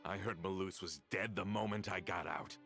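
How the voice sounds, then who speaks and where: An actor playing a bitter, vengeful middle-aged man in a game cutscene, accusing and resentful and rising to shouts, with a gravelly voice. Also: sits low, normal speed, soft background music. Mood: accusing, furious. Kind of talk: dubbed game voice acting.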